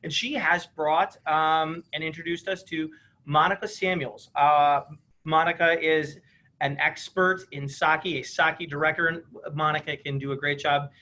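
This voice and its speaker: An eager man hosting on a video call, his voice bright and forward. He sits a bit higher than most men and fills his pauses with uhs and ums. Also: fairly even intonation. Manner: enthusiastic, filler-heavy. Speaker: store owner hosting the event. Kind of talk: casual introduction.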